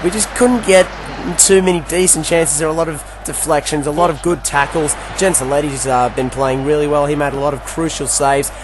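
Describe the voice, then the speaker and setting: Croaky-voiced man commentating a game. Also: a little high, steady tone, fairly quick, hardly any pauses, noisy background. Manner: casual play-by-play recap. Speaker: gaming commentator. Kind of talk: video game match commentary.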